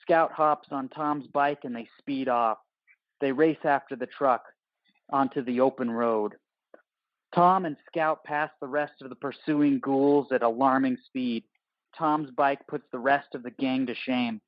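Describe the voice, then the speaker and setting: A man's voice: mid-range voice, measured pace, dry recording, highs cut off. A man reading scripted, terse action narration for an audio play.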